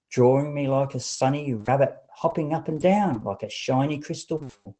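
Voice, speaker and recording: A man reading a story aloud as an audiobook narrator, in a deliberate, expressive storybook manner. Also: on the high side, rough recording.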